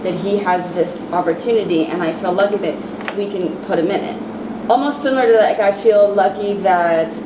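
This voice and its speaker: A woman's voice: medium pitch, moderate pace, hardly any pauses, noisy background. A woman from the United States, a parent, speaking openly in a group discussion. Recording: echoey room, far from mic.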